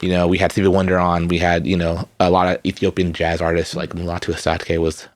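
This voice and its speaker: Laid-back guest musician, man in his thirties, lowish voice, dry close sound. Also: quick pace, no echo. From Redmond, Washington. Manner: relaxed, rambling, frequent fillers and restarts, laughs easily. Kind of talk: casual interview.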